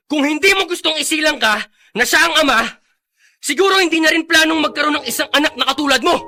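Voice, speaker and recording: A man's voice: very high voice, pushed and bright, exaggerated voice. An actor playing an indignant, principled young man in a Filipino radio drama, reproaching and moralizing with emphasis. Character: indignant, principled young man defending his friend's father.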